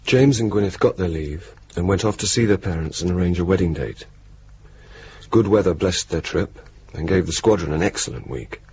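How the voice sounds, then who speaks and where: A low, dry-humoured young wartime air force officer in a radio play. He talks in clipped, ironic understatement, on a dull recording with faint hiss. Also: animated, normal speed, a little mellow. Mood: measured narration. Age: young adult. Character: wry, bantering young RAF bomber officer. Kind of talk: radio drama dialogue and narration. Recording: dull, hissy 1980s radio broadcast.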